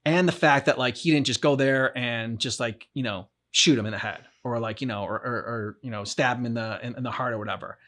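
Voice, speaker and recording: A man's voice: medium pitch, a little fast, few pauses, a bit bright. A man co-hosting a game reaction show, chatting casually, rambling and often repeating and restarting his phrases. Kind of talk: casual discussion of a game's story.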